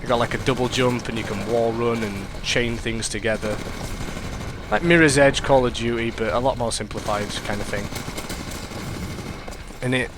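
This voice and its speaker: Sniffly young gaming commentator. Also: male voice, medium pitch, moderate pace, long pauses, noisy background. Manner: rambling, self-correcting, excitable reactions. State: congested, sniffly. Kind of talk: casual gameplay commentary.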